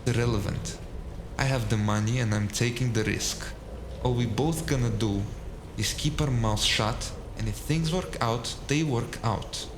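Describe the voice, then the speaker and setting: A man's voice: medium pitch, unhurried, close to an everyday voice. A man playing a secretive, coolly defiant underworld dealer in a noir audio drama, clipped and dismissive. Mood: resolute. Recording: echoey space, noisy ambience.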